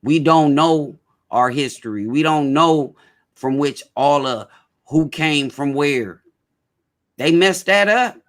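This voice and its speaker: A man hosting a loose, opinionated talk show. His voice sits a little high, and he speaks in an animated, emphatic way. He circles back and repeats key phrases to drive them home. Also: slow. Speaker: host.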